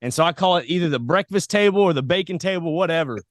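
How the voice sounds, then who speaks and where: A man's voice: a little high, lively intonation, fast talker, no echo, studio quality. An American man hosting a podcast, chatting in a casual, rambling, informal way as he interviews. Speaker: podcast host. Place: podcast set.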